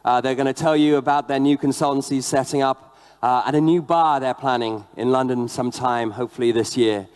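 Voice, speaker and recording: A man's voice: mid-range voice, steady tone, a little fast, a little mellow, smooth. A man hosting an event, introducing the speakers and getting the crowd up, with a few fillers. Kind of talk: stage introduction. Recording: long hall reverb.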